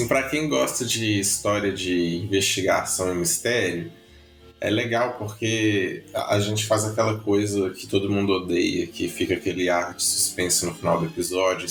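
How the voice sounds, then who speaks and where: A relaxed man with a lowish voice who tells stories and stretches some words. His voice sounds roomy and echoey, with faint music playing behind him. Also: moderate pace. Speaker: musician and educator, guest. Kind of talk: casual interview talk. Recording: roomy echo.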